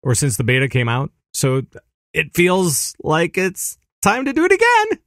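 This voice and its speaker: A man co-hosting a casual gaming podcast, animated and laughing easily, sometimes making silly sound effects. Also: fairly high pitch, measured pace, dry recording, clean studio sound. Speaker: podcast co-host. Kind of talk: casual chat.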